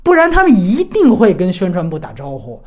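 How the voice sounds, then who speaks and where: Low-voiced woman, animated and conversational. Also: a little fast. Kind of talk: conversational commentary and storytelling.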